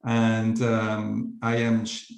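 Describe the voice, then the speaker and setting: A middle-aged man from Argentina with an Argentine Spanish accent, hosting an online orientation for a coaching program in a steady explanatory way. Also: fairly low pitch, very slow, creaky, echoey room. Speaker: coaching program trainer, host. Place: virtual meeting.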